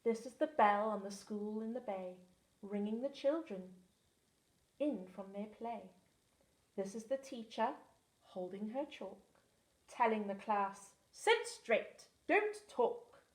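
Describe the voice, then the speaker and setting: Slow-reading woman in an echoey room. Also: medium pitch, darkish tone. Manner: rhythmic, lilting rhyme reading. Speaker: teaching assistant. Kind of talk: storybook read-aloud.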